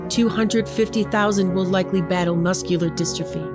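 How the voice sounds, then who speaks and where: An American woman reading scripted public-service narration in an earnest, deliberate way. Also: fairly low pitch, fairly even intonation. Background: instrumental music.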